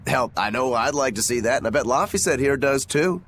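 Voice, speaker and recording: A man's voice: mid-range voice, expressive, a little fast, a bit bright, slight background noise, natural voice. A man playing a cocky, easygoing young swordsman with a playful, persuasive manner in a video game. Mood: playful, proud.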